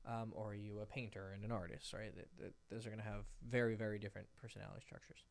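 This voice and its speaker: Gravelly-voiced man coaching casually. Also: quick pace. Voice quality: describes own voice as fairly high-pitched. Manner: loose and animated, acts out example dialogue. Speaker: dating coach. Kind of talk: casual advice monologue to camera. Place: indoors, sitting in a rocking chair.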